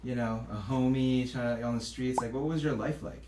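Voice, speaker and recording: A man hosting a comedic talk show, playfully teasing his guest and shouting the show intros. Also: mid-range voice, steady tone, brisk, echoey room, background noise.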